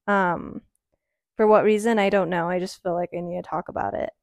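A woman's voice: lowish voice, fairly even intonation, fairly quick, no echo. A woman in her early twenties talking casually and frankly on her own, rambling with lots of "um" and "like.". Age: early 20s. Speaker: podcaster. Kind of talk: casual solo chat.

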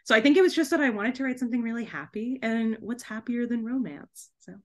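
A woman talking casually as an interview guest, chatty and animated, often interrupting herself mid-thought. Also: a little high, fast. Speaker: podcast guest, debut author.

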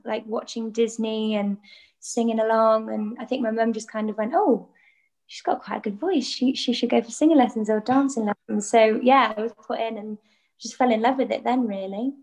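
A woman's voice: a little high, moderate pace, a little mellow, low-quality recording. A young woman from North Wales with a North Welsh accent, a musical theatre performer chatting as an interview guest. Welsh is her first language, and she talks in a chatty way, full of fillers and giggles. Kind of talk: casual interview chat.

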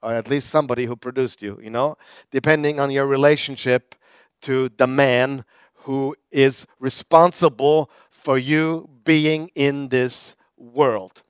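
Male pastor, crisp dry voice. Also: medium pitch, steady tone, a little slow, no room sound. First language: German. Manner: conversational preaching with repetitions and fillers. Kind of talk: sermon.

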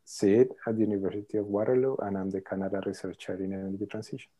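A middle-aged man, a professor answering interview questions thoughtfully, pausing often with ums. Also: lowish voice, fairly even intonation, warm tone. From Colombia. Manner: thoughtful, hesitant with many ums. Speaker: professor, podcast guest.

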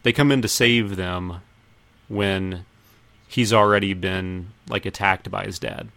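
A man's voice: deep voice, steady tone, unhurried, gravelly, a bit bright, dry recording. A man hosting a casual film discussion with friends, thinking aloud in a tentative way. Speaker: podcast host.

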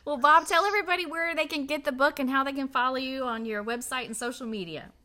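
A woman's voice: high-pitched, fast talker, pushed and bright. A woman co-hosting a radio podcast, putting questions to a guest and reading show announcements. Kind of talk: interview hosting and scripted sign-off.

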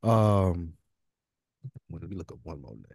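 A man's voice: low voice, expressive, unhurried, vocal fry, darkish tone, breathy edge. An American man chatting casually as a podcast co-host. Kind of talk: casual chat, fantasy draft banter.

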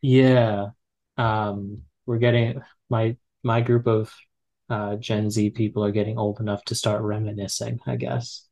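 A man's voice: fairly low pitch, level tone, measured pace, gravelly, darkish tone. A man in his twenties, a guest in a casual conversation, talking with many fillers and restarts. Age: 20s. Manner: hesitant, full of fillers and restarts. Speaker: podcast guest.

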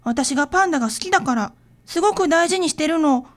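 Radio drama child: timid, sorrowful young girl with a tiny high-pitched voice. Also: expressive, quick pace. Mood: sad, hesitant. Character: sad, shy little primary-school girl.

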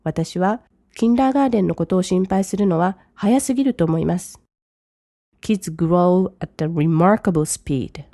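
A woman who teaches English, reading each English line slowly and then translating it briskly. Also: on the low side, warm tone, no echo, clean studio sound. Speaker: language teacher. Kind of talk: bilingual lesson commentary.

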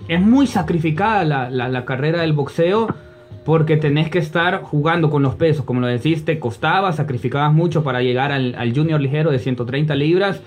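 Honduran man, sports interviewer, higher-pitched, wordy conversational questioning over faint music. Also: brisk.

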